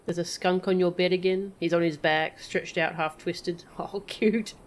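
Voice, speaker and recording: A woman streaming a game with casual, playful and wry commentary. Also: on the low side.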